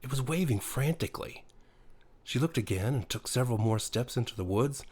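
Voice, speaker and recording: A man's voice: medium pitch, animated, fairly quick, darkish tone, slightly rough. A man narrating a horror bedtime story in a dramatic storytelling style. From USA. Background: music.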